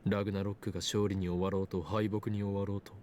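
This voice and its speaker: A man's voice: fairly low pitch, little pitch movement, very fast, darkish tone, slight background noise, lightly acted. A man playing a grandiose young self-styled mad scientist in an anime visual novel, pompous and theatrical in delivery. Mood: brooding, subdued. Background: game music.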